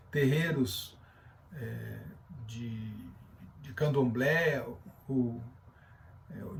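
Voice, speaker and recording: A Brazilian man teaches in a somewhat high-pitched voice, recorded at some distance from the microphone. He explains point by point in a lecture style. His delivery is halting, as he often feels around for the next word. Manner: halting, searching for words. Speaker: teacher giving a class. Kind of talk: explanatory lecture with loose reading from notes.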